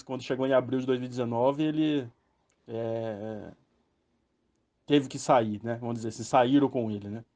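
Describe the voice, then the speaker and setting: A man's voice: medium pitch, darkish tone. A Brazilian man hosting a podcast, rambling through casual commentary with many fillers and tag questions. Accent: Brazilian Portuguese. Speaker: podcast host. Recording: muffled lo-fi sound.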